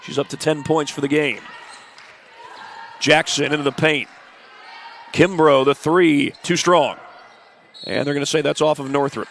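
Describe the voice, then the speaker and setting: A congested man with the flu giving steady play-by-play of a high school girls' basketball game, adding stats and schedule notes. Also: unhurried, pauses often, a little hiss or hum. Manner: steady, informative play-by-play with stat and schedule asides. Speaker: play-by-play announcer. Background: faint gym ambience, ball bouncing.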